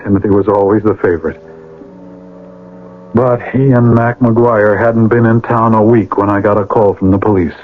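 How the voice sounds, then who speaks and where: An actor playing a stern, responsible older brother in a radio drama. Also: male voice, fairly low pitch, steady tone, moderate pace, soft background music, lightly acted. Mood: sober narrating. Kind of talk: 1980s radio drama acting with narration. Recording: tinny old radio broadcast.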